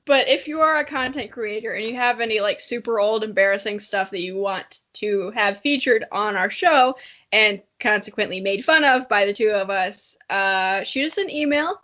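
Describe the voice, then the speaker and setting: A woman's voice: mid-range voice, normal speed, fairly bright tone, highs cut off. A woman hosting a podcast, chatting casually in a jokey, self-deprecating way. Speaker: podcast host. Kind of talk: casual podcast outro chat.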